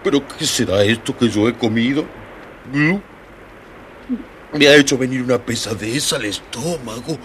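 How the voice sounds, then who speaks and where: An actor voicing a big, dim-witted fish who complains and gulps with hiccups in a children's audio storybook. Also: male voice, medium pitch, measured pace, pauses often, fairly bright tone, a little hiss or hum, close to an everyday voice. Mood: queasy, grumbling. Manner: complaining, gulping hiccups between phrases.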